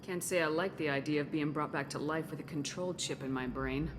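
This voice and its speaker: A woman's voice: low voice, brisk, noisy background, close to an everyday voice. An actress playing a tough, guarded female military commander in a video game, speaking bluntly and firmly. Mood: firm, probing. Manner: blunt, firm, questioning. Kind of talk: video game cutscene acting. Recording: music behind the voice.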